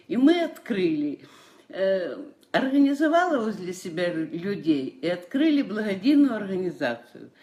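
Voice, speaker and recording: A woman's voice: medium pitch, darkish tone, highs cut off. A woman, giving a personal testimony as a church member. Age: 60s.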